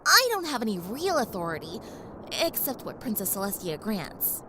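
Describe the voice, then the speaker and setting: A woman's voice: mid-range voice, big pitch swings, normal speed, noisy background, lightly acted. A woman playing a young pony princess in an audio drama, polite, playful and earnest, with a friendly, slightly grand manner. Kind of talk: audio drama character acting. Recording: faint background ambience.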